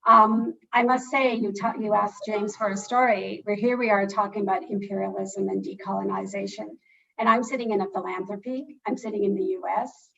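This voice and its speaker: A woman's voice: mid-range voice, animated, fairly quick, gravelly, a bit bright, far from the mic, lo-fi sound. A woman with a Canadian accent speaking as a funder on a panel, earnest and often restarting her phrases. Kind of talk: panel discussion.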